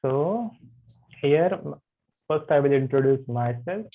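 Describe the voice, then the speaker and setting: A man introducing himself as a yoga anatomy teacher in an online class, halting with many fillers. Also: a little high, expressive. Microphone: video call. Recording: tinny video call audio.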